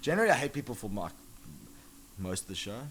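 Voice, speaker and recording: A man's voice: fairly low pitch, big pitch swings, quick pace, a bit bright, slight background noise. A man with a South African accent hosting a podcast in casual, swearing chat. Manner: chatty, swears casually, enthusiastic. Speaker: podcast host.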